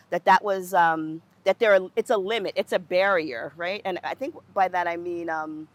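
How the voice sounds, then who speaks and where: A middle-aged woman speaking earnestly in conversation, thinking aloud with restarts and questions like "right?". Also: mid-range voice. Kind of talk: conversational reflection.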